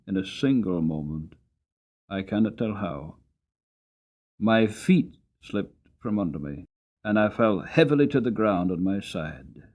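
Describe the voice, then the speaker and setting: A man voicing a weary, long-suffering horse who tells his life story in earnest, deliberate, dramatic storybook narration. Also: lowish voice, muffled recording. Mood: weary, strained.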